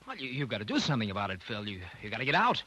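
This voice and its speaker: A man's voice: mid-range voice, wildly swooping pitch, racing, pushed and bright, no room sound, exaggerated voice. An American man acting a genial, earnest doctor in his thirties in an old radio drama, playing the loyal college friend. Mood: shocked. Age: 30s. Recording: noisy old radio broadcast with clicks.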